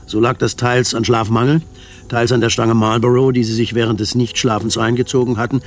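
A wry male storyteller played by an actor narrates a radio drama. His voice sits at an average pitch, and he delivers literary prose with measured, detached, deadpan calm. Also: slight background noise, close to an everyday voice. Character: wry, detached male storyteller of a satirical science-fiction tale.

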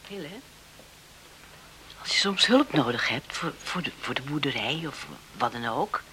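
A kindly neighbour who will not be put off, in a radio play. Her low voice moves expressively up and down, earnest and insistent. Faint hiss sits on the old recording. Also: animated, measured pace, sharp tone, heavily acted, rough recording. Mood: earnest, placating. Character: kindly, insistent neighbour woman offering help.

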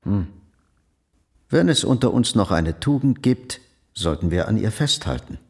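A man playing a dry, genteel gentleman with a formal, wry manner in a German radio drama. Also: fairly low pitch, animated, measured pace, creaky, husky, heavily acted. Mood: dry, resigned.

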